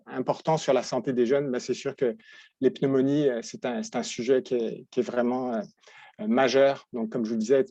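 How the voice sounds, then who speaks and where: Halting French-accented man, dull dry sound. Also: mid-range voice, warm tone, no echo. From France. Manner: halting, many restarts and repeated words. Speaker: veterinary professor presenting. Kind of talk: webinar presentation.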